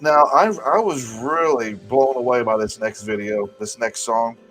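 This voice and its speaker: A man in his forties hosting a casual music chat show, animated and swearing freely, often repeating words as he talks. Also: mid-range voice, measured pace, low-quality recording. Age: 40s. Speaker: show host.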